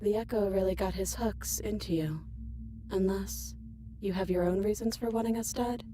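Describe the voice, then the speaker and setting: An actress playing a calm, otherworldly princess goddess who speaks as many selves, in a dramatic video game. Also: female voice, mid-range voice, moderate pace, natural voice. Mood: grave, persuasive. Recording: loud music behind the voice.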